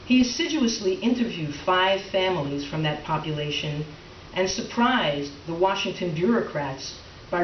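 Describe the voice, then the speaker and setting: A woman reading scripted narration as the introducer of a staged piece. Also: lowish voice. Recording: echoey room, distant mic, dull, faint noise.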